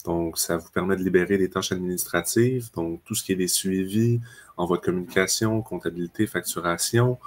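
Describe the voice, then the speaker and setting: A man presents software during an online webinar. His voice sits very low. He explains things steadily and uses frequent fillers. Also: brisk. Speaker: customer success manager.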